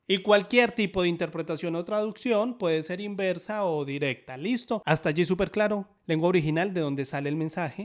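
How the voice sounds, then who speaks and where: A man teaching a sign language lesson in Spanish, upbeat and explanatory, often checking in with quick questions. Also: on the high side.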